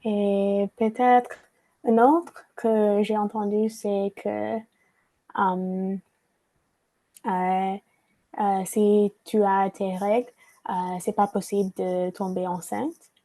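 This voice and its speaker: Woman running an online workshop, mellow soft tone, lots of pauses n restarts. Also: medium pitch, little pitch movement. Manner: frequent hesitations and restarts, reading from notes. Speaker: workshop facilitator. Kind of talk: hesitant virtual workshop presentation. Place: online video call.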